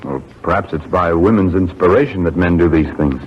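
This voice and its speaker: An actor playing a solemn, idealistic young colonial gentleman with a halting, earnest delivery in a radio drama. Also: low voice, husky. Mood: tender, earnest. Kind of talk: 1940s radio acting. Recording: dull, faintly noisy 1940s radio recording.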